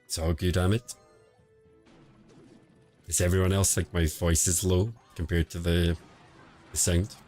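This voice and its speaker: A man streaming casual commentary on a mobile game match, quiet and relaxed, answering chat between calls of the play. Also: a little slow, long pauses. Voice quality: quiet, low-level voice. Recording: home stream audio with faint music behind. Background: faint background music.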